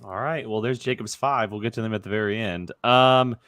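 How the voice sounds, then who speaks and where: Bright-voiced young man hosting casual chat. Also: fairly low pitch, fairly even intonation, a little fast, clear, resonant tone. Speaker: host running a movie draft show.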